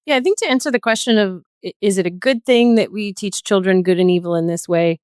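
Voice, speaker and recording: A woman's voice: mid-range voice, fast talker, a little mellow, clean tone, no room sound, clean studio sound. A woman speaking as a podcast guest, explaining her reasoning in a conversational way. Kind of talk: conversational panel discussion.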